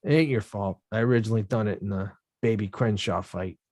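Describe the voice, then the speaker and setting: A man's voice: fairly low pitch, fairly even intonation, a little fast, darkish tone, dry recording, studio quality, natural voice. Rocky, a blunt boxer, read by a man. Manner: slangy, blunt, self-mocking. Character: Rocky, a rough-talking young male boxer. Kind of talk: script table read.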